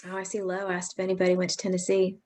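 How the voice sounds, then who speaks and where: A woman's voice: lowish voice, completely flat delivery, racing, a little mellow. A woman hosting a virtual parent information session, talking in a friendly, chatty, reassuring way over a video call. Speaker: pre-college program staff host.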